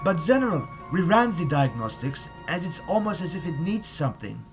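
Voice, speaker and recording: A man's voice: a little high, very animated, brisk, music in the background. A man acting an anxious German rocket scientist who reports deferentially in a fan audio drama. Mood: earnest pleading. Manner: uneasy, earnest, explanatory.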